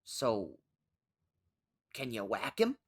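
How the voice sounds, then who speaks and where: An actor playing a petulant schoolboy, the whiny, aggrieved son of a mobster, in a comic radio play. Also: male voice, on the low side, huge pitch swings, extremely slow, fairly bright tone, slightly rough, exaggerated voice. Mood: wheedling.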